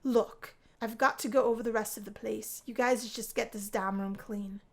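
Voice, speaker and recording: A woman's voice: on the high side, steady tone, moderate pace, darkish tone. Visual novel role: fed-up college guy played by a woman, stern, bossy, giving orders. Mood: exasperated. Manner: stern and commanding. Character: angry, bossy male college roommate, fed up. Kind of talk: visual novel character voicing.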